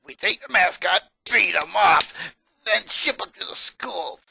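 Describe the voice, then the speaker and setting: A smug teenage bully, played by a man in a story reading. The voice is fairly high and forceful, with a taunting, scheming, menacing delivery. It sounds dry and close. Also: expressive, unhurried, gravelly, breathy edge, no echo, full character voice. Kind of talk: dramatic fan fiction reading.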